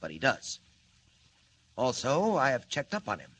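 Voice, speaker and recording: A smug villain mastermind, sneering in stiff, formal phrases with a lively, swooping pitch. Old radio drama acting over a faint hiss. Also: male voice, unhurried, heavily acted. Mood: scheming. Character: scheming, mocking male villain mastermind.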